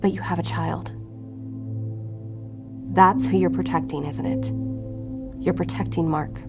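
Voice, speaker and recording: A woman's voice: fairly low pitch, fairly even intonation, takes it slowly, vocal fry, music in the background. An actress playing an earnest, determined female agent in an audio drama, pleading and pressing. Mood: earnest probing. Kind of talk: audio fiction drama acting.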